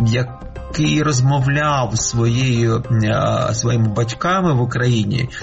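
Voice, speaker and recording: Halting, gravelly-voiced male scholar. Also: medium pitch, lo-fi sound, faint music behind. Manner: thoughtful, halting, searching for words. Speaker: scholar interviewee. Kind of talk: reflective interview answer.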